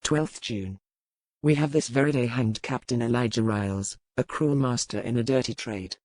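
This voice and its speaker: A narrator voicing a bold, proud male pirate captain and earl, measured, solemn and commanding, as in an audiobook. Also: mid-range voice, a little mellow, no echo, pitched below the performer's own voice, close to an everyday voice. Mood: proud, resolute. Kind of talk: formal ship's log read as dramatic narration.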